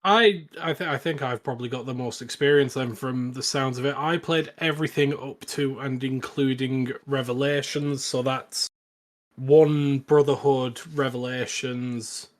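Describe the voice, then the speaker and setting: A man's voice: mid-range voice, fairly even intonation, a little slow. British guy hosting a chatty film podcast, normal mid voice. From Britain. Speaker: podcast host. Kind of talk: casual film discussion chat.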